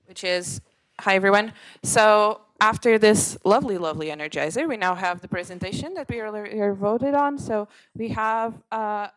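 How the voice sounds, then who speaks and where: A woman speaks as the chair of a meeting, moderating in an informal style. Her voice sits at an average pitch for a woman. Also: normal speed, poor audio.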